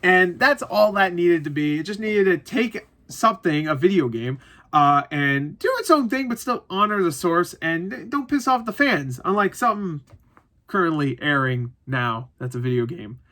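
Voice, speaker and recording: A man giving a casual, unscripted movie review as an online host, rambling and cutting himself off with animated asides and the odd mild swear. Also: sits high, moderate pace.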